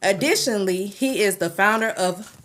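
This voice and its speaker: A woman, hosting a podcast in an informal, excitable manner. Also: medium pitch, normal speed. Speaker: podcast host. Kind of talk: podcast hosting, casual chat with read introduction.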